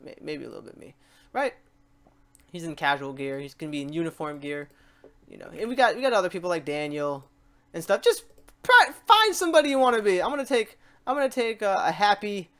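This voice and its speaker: A tutorial host talking the viewer through software steps in a casual, animated, slangy way, acting out a learner's questions and exclamations. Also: mid-range voice, a little fast.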